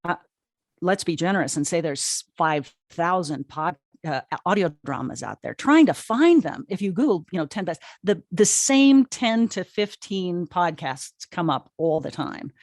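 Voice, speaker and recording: A woman's voice: on the low side, very animated, unhurried, a little mellow, dry recording, studio quality. A woman explaining things casually as an interview guest, emphatic and often repeating words as she finds her phrasing. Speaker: interview guest, audio drama company co-founder.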